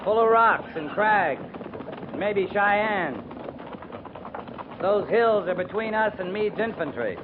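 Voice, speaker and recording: An actor playing a stern, weary but caring cavalry captain with terse, commanding speech in a 1950s radio western. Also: male voice, high voice, lively intonation, slow, pauses often, narrow, tinny audio. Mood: stern, testing. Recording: noisy, thin 1950s radio recording.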